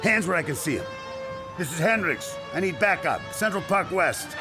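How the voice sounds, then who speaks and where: A man's voice: high voice, lively intonation, normal speed, music in the background. An actor playing a stern, insistent mounted policeman in a radio drama, barking orders with authority. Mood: stern, urgent.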